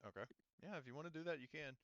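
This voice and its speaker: A man's voice: a little high, rapid-fire, fairly bright tone, no echo. A man acting as game master, talking casually through a tabletop roleplaying session and swearing now and then. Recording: slightly dull, dry close-up audio.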